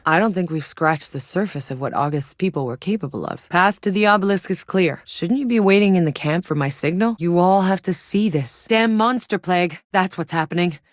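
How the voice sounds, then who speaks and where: A tough, wry female scout played by a woman with a low voice, recorded very dry and close. She delivers terse, matter-of-fact video game lines like a soldier sizing up hostile ground. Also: dry recording, close to an everyday voice. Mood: urgent.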